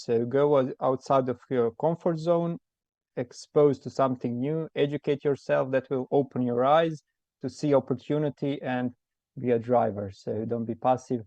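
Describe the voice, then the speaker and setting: A man moderating a panel debate, speaking in short, halting phrases. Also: mid-range voice, mellow, dry recording, muffled recording.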